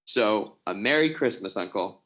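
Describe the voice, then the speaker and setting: Animated, earnest nephew played by a man. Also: lowish voice, moderate pace. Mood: regretful, cheerful. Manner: earnest, pleading, expressive. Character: kind, cheerful, earnest nephew who loves Christmas. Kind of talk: amateur radio play acting. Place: performed remotely over a video call. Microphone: video call.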